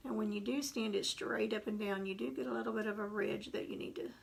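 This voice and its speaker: A woman's voice: medium pitch, fairly even intonation, quick pace, vocal fry. A woman with a Texas accent chatting through a live painting tutorial, rambling into homey asides as she paints. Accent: Texan. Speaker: craft painting instructor. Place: home craft workspace.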